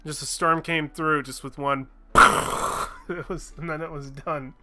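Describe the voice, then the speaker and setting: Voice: medium pitch, bright, forceful tone. A streamer chatting casually while playing a game, swearing freely and laughing at their own mistakes. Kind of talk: casual gaming livestream chat.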